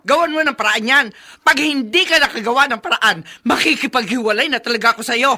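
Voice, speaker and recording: An angry nagging wife in a radio drama, piercing and high. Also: female voice, brisk, sharp tone. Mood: furious, accusing. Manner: shrill, scolding. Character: angry accusing wife and business partner.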